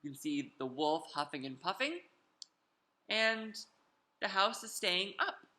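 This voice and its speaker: A storyteller reading a picture book aloud to children in a theatrical, animated way with drawn-out emphasis, in a roomy home recording. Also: mid-range voice. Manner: theatrical, drawn-out emphasis, invites listeners to join in.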